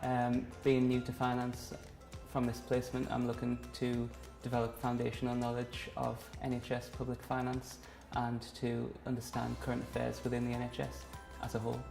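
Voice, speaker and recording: A young man from Gateshead speaks in a flat, gravelly voice, formal and rehearsed as he answers interview questions as a graduate finance trainee. The echoey room carries clear background music. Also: mid-range voice, normal speed, hardly any pauses. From Gateshead, England. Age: young adult. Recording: roomy sound with music behind.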